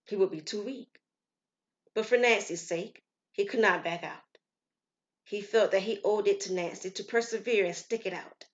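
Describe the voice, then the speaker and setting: A woman narrating a scripted story as storyteller, in a measured, expressive way. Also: mid-range voice. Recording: slightly muffled, lo-fi.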